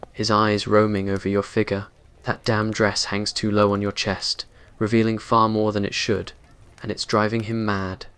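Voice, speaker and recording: A man's voice: on the low side, a bit bright. A man reading scripted dramatic narration for a romantic ASMR roleplay in an earnest, brooding way. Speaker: story narrator.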